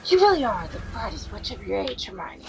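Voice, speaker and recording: A woman's voice: mid-range voice, big pitch swings, a little fast, background noise, close to an everyday voice. A woman playing an earnest, knowing male professor wizard in an audio drama, with theatrical delivery. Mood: impressed. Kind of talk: fan audio drama acting.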